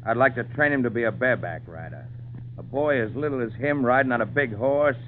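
A man's voice: medium pitch, expressive, lightly acted. Casting: man as calculating, persuasive riding master, mid-range voice, tinny noisy vintage radio recording. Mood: persuasive, scheming. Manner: brisk, persuasive. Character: hard, scheming circus riding master. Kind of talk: old-time radio drama acting.

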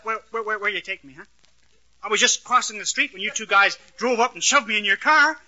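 An actor playing a naive, excitable, hapless young man in an old radio comedy. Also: high-pitched, fast. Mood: anxious, protesting. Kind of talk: 1940s-50s radio comedy acting. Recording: dull old radio recording, faint noise.

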